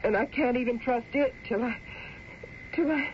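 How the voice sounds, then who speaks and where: An actress as an insistent frontier woman who trusts nobody. Her voice sits fairly high and she speaks emphatically, repeating words for dramatic weight. It is a muffled radio western with plain background noise. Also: normal speed, lightly acted. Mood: wary, intense. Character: determined frontier woman, armed, distrustful, insistent. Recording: muffled, noisy old radio drama recording.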